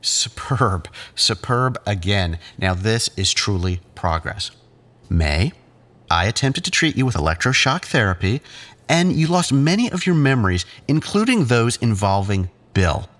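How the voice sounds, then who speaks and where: A man playing a controlling psychiatrist in an audio drama, speaking in a measured, clinical, patronising way. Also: lowish voice, sing-song, fairly bright tone, exaggerated voice. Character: condescending, controlling male psychiatrist leading group therapy.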